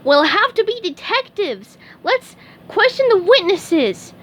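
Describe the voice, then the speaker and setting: A woman voicing an excitable young male sea sponge fry cook in a storybook read-aloud, shrill and overexcited. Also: takes it slowly, slight background noise, exaggerated voice. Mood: eager.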